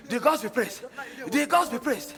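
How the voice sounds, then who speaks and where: A man's voice: extremely high pitch, expressive, a little slow. A Nigerian man on stage playing an anxious, commanding family patriarch, speaking urgently with hesitations and repeats. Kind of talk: stage play acting. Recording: echoey stage hall, music behind.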